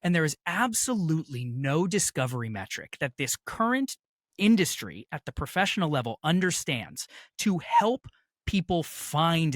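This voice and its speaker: American man, interview guest, casual storytelling, chatty, jokey, emphatic, highly varied intonation, dry sound. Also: fairly bright tone, dry recording. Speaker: interview guest, audio fiction producer.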